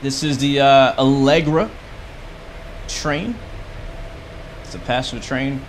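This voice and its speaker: A young man chatting excitedly and joking while live-streaming a game. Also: medium pitch, slow. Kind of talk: casual live-stream commentary. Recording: game train noise underneath.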